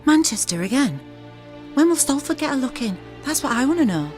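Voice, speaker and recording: A woman's voice: sits high, very animated, a little fast, soft background music. An actress playing a cheeky, outspoken young working-class woman in a radio drama. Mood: indignant.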